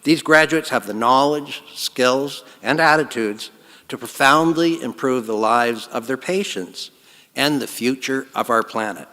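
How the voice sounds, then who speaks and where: A man giving a formal, earnest convocation address at a podium, his phrases trailing off softly. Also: medium pitch, a little slow, long reverb tail. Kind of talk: formal ceremonial speech. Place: large hall.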